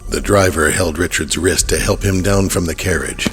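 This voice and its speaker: A man's voice: sits low, fairly even intonation, normal speed, music in the background. A man narrating a horror audio drama in a scripted storytelling style.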